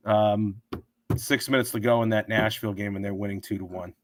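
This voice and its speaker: A hoarse man with a sore throat, rambling through his betting picks as a sports show host. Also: fairly low pitch, steady tone, brisk, a little hiss or hum. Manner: rambling, thinks aloud with many fillers and restarts. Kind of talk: casual betting picks talk. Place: home.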